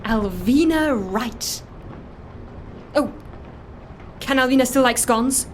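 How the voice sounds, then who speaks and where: A woman playing an eager, quirky middle-aged islander in an audio drama, hesitant yet excitable, with deadpan humour. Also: high-pitched, slow, slight background noise. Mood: excited, eager. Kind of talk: audio fiction drama acting.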